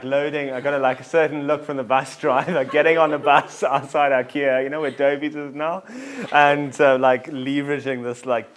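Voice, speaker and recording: Man, 40s, self-deprecating, rambling, casual storytelling. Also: mid-range voice. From South Africa. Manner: self-deprecating, jokey, rambling with many fillers. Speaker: tour company founder, guest.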